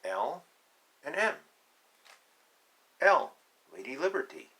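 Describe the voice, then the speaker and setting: A man reading a picture book aloud to children, deliberate and emphatic in short phrases, with chatty, playful asides. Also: a little high, very animated, pushed and bright.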